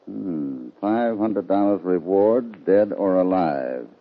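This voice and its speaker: A calm, fair-minded cowboy hero with a very low voice. He speaks in terse, commanding lines in an old western radio drama, on a dull vintage recording. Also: a little hiss or hum, exaggerated voice. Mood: firm, unruffled. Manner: measured, authoritative, terse. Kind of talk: 1950s radio western acting.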